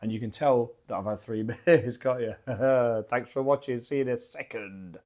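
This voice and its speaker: A man with a croaky voice from a lingering cough, rambling in a chatty, self-deprecating way as he reviews beer at his home bar into a desk microphone. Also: medium pitch, moderate pace, a little mellow.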